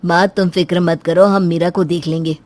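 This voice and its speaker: A woman's voice: lowish voice, little pitch movement, moderate pace, a little mellow, close to an everyday voice. Woman voicing a patient, protective older brother. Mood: reassuring. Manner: reassuring, patient.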